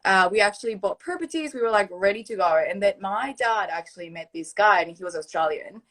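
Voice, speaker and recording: A woman's voice: medium pitch, expressive, a little fast, fairly bright tone. A young woman from Argentina with a mixed Argentinian accent, a native Spanish speaker, chatting as a guest on a live video call and laughing often. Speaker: guest influencer.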